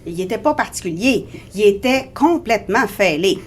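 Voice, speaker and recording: A woman's voice: mid-range voice, expressive, normal speed. A woman in her forties with a Québécois French accent speaks as a radio guest, telling her story earnestly and reflectively. Her voice sits mid-range, with a faint hiss behind it. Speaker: guest author. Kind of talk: casual radio interview.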